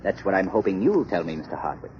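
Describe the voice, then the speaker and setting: An actor playing a shrewd, commanding gentleman sleuth in a 1930s radio drama, with a dramatic, authoritative delivery. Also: low voice, very animated, fast talker. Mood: probing, confident. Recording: muffled, noisy 1930s radio recording.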